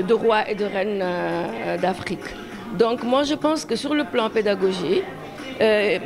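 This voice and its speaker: Halting woman over music. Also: medium pitch, creaky. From Senegal. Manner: halting, often repeating words. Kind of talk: spoken interview. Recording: music playing behind the voice.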